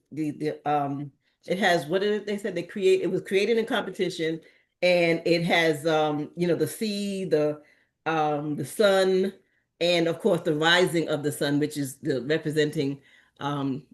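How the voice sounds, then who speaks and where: A woman's voice: low voice, fairly even intonation, a little slow. A middle-aged woman from Antigua speaks casually to a community gathering. Her talk wanders, broken up by frequent ums and restarts. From Antigua and Barbuda. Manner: meandering, with many fillers and restarts. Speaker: community presenter at an association meeting. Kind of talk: casual informal talk to a meeting.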